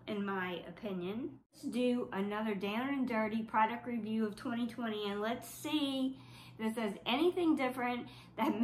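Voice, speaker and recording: A woman's voice: fairly high pitch, a bit bright, roomy sound, a little hiss or hum. A woman from South Louisiana with a stuffy, congested voice, giving a casual makeup review with a wry, skeptical manner. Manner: wry, skeptical, reading product claims aloud. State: stuffy from flu. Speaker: beauty vlogger.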